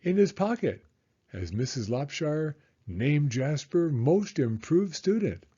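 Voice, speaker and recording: A man reading a children's story aloud in a theatrical, suspenseful way with drawn-out emphasis. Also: fairly high pitch, very animated, mellow, husky, dull audio.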